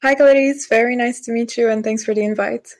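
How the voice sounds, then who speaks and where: A woman in her twenties from Portugal, a politician answering interview questions thoughtfully, with pauses and restarts. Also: fairly high pitch, fairly even intonation, a little mellow. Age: 20s. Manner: thoughtful, hesitant with fillers and restarts. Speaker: politician, guest.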